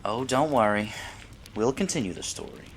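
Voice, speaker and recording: A man playing a kindly, fatherly businessman husband in a roleplay audio drama, speaking in a measured, frank, gently probing way. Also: mid-range voice, slightly rough, lightly acted, poor audio. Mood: frank, reassuring.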